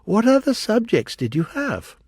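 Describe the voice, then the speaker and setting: A polite, curious little girl from a storybook asking question after question, as a man puts her on. The voice is lifted high with a lively, bouncing melody, recorded close and dry. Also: normal speed, slightly rough, no echo, heavily acted. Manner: polite, inquisitive. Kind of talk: audiobook reading.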